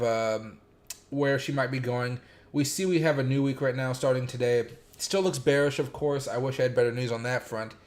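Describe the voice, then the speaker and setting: A man hosts a casual crypto livestream, his voice slightly sore and raspy from streaming every day. He rambles through chart talk with plenty of fillers and stops to greet viewers in chat by name. His pitch sits in the middle range for a man.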